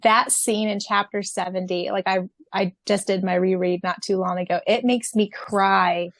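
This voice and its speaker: A giggly, effusive woman co-hosting a fan podcast, her voice sitting at an ordinary middle pitch. She talks in a loose, chatty way and breaks into laughter often. The sound is dry and close, with no room around it. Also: steady tone, moderate pace, dry recording. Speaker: podcast co-host. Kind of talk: casual fan podcast chat.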